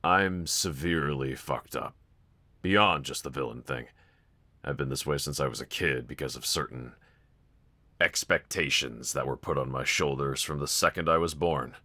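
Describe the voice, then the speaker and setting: A man's voice: a bass voice, moderate pace, pushed and bright, exaggerated voice. A man playing a brooding young villain roommate in an intimate audio roleplay, confiding hesitantly and wryly to one listener. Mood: bitter, confessional. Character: brooding young male villain roommate, self-loathing but softly grateful.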